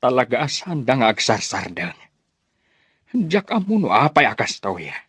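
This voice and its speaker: A man playing a worried but resolute fatherly villager in a radio drama, speaking gravely and earnestly. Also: mid-range voice, very animated, normal speed, fairly bright tone, no echo, clean studio sound, full character voice.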